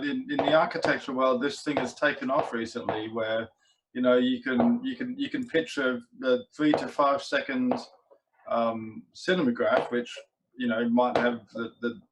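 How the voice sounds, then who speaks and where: A man hosting a casual panel, talking eagerly and repeating words as he thinks aloud. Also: mid-range voice. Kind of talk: casual video call panel. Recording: noisy mic with clicks.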